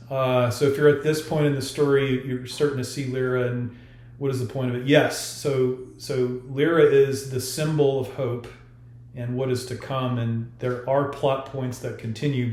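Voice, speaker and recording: Guy on a podcast explaining stuff, mid pitch, stops to pick words, roomy echo. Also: little pitch movement, moderate pace, darkish tone. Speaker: author discussing own story. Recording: roomy, echoey sound.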